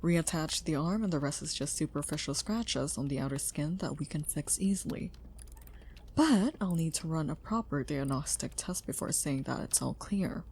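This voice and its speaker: A woman's voice: low voice, lively intonation, moderate pace, a little mellow, slight background noise. A woman playing an earnest, curious scientist in a scripted audio drama. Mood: calm, businesslike. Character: earnest, curious female scientist who built a robot. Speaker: voice actor in an audio roleplay.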